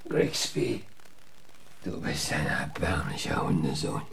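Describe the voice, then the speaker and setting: An actor playing a weary, bitter lawman muttering in a gravelly, breathy voice in an audio drama. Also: very deep voice, sing-song, takes it slowly, full character voice. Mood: self-loathing. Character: bitter, weary old lawman cursing himself. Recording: clear background noise.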